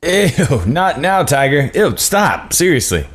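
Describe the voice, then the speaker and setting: A man's voice: on the high side, very animated, slow, exaggerated voice. A man playing a cocky, impatient father who acts like a teenage wannabe, in a screenplay table read.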